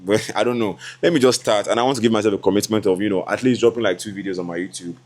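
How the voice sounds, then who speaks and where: A man's voice: fairly low pitch, racing, a little mellow. Chatty young Nigerian man vlogging. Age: 20s. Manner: chatty, laughing, switches into Yoruba asides. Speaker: content creator. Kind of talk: casual vlog talk to camera.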